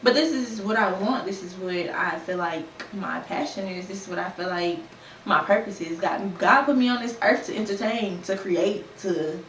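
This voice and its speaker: A woman's voice: medium pitch, moderate pace, distant mic. A young woman in her twenties hosts a casual podcast, speaking frankly and conversationally with plenty of slang. She sits a little away from the mic in a roomy space, with faint ambient noise underneath. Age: 20s. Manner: candid and conversational, with lively put-on quotes and slang. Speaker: podcast host. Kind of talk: casual solo podcast talk.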